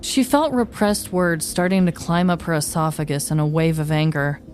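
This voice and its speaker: A woman's voice: sits low, normal speed, darkish tone, soft background music. A woman podcast host narrating a scripted comedic story.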